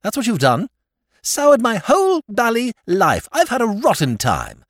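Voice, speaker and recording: High, squealing young lord, petulant, aggrieved, swinging wildly in tone, posh slang. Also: male voice, wildly swooping pitch, measured pace, a bit bright, dry recording, clean studio sound, heavily acted. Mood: ranting. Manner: shrill, aggrieved, old-fashioned upper-class slang. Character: young male aristocrat, petulant and indignant.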